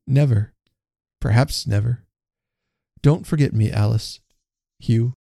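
A man acts out a repentant storybook father with a dark, mellow tone and some gravel. He is solemn, tender and earnest, choosing formal old-fashioned words. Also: mid-range voice, slow, no room sound, studio quality. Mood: tender farewell. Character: sombre repentant man, tender, parting from his children.

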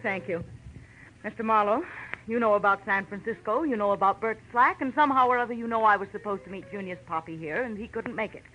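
An actress playing a guarded, impatient married woman in an old-time radio drama, with a crisp, suspicious manner. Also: mid-range voice, fast, bright, forceful tone, slight background noise, lightly acted. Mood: alarmed, cold. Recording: muffled old radio recording.

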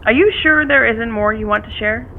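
A woman's voice: on the high side, fairly quick. Female detective, phone-filtered voice, dry, guarded, skeptical, businesslike, naturalistic audio drama. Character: guarded, curt female police detective on a call. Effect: telephone filter. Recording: thin phone line with noise.

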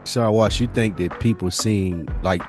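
A man's voice: lowish voice, measured pace, darkish tone, faint music behind. A man hosting a casual podcast, talking in a slangy, conversational way and putting questions to his guests. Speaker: podcast host.

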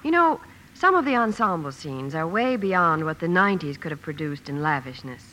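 A woman's voice: deep voice, very animated, moderate pace, sharp tone. An American woman giving scripted promotional patter as a 1950s radio Hollywood reporter. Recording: noisy vintage 1950 radio broadcast.

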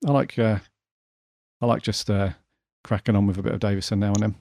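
A man's voice: on the low side, level tone, a little fast, vocal fry, a little mellow, no room sound, studio quality. A man co-hosting a podcast, talking in an easygoing, slightly hesitant way. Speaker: podcast co-host. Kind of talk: casual chat.

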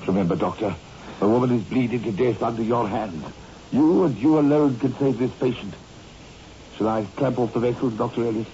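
An actor playing an earnest, loyal assistant surgeon with formal, emphatic delivery in a 1960s radio drama. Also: male voice, mid-range voice, brisk, mellow, close to an everyday voice. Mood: urgent, firm. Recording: muffled, noisy old radio recording.

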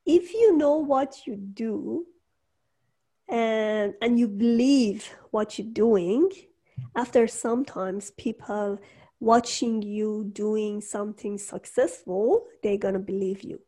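A woman speaking English as her second language, chatting casually about starting her show, animated and laughing often. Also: medium pitch, slow. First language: not English. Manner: animated, laughs often, acts out quoted voices. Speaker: podcast host and entrepreneur. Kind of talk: casual conversation.

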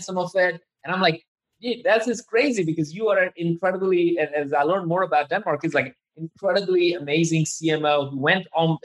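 A man's voice: fairly high pitch, fairly bright tone, poor audio. A man hosting a podcast interview, chatting informally and with enthusiasm. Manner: enthusiastic and informal, says "dude". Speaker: podcast host. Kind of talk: casual interview chat.

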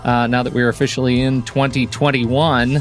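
A man co-hosting a podcast in casual chat, talkative and quick to laugh at his own slips. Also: mid-range voice, flat delivery, faint music behind. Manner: chatty, self-correcting, laughs easily. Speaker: podcast co-host.

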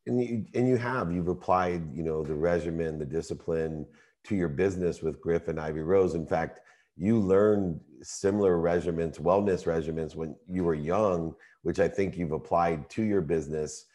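A man hosting a podcast, asking wordy interview questions conversationally in halting phrases. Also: low-pitched, dark tone.

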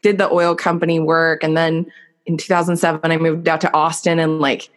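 A woman's voice: low voice, level tone, brisk. A woman in her thirties, a podcast guest, telling her life story in a candid, reflective way with plenty of filler words. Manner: reflective, earnest, many fillers. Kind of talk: candid personal storytelling in conversation.

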